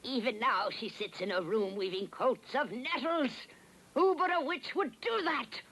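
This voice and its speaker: An actress playing a spiteful, scheming sorceress in a dubbed cartoon, husky, insinuating and theatrical. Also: female voice, fairly high pitch, unhurried, fairly bright tone, low-quality recording. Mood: sly accusing. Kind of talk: dubbed cartoon villain acting.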